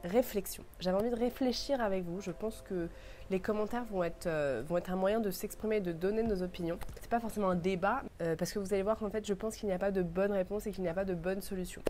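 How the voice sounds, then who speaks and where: A young woman with a cold talks to her viewers, her nose clearly stuffed up. She thinks out loud in an earnest way and stacks up words one after another. A faint hiss of background noise sits under her voice. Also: mid-range voice, quick pace. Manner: thoughtful, earnest, lists words in a row. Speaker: beauty vlogger. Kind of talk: casual reflective talk to camera.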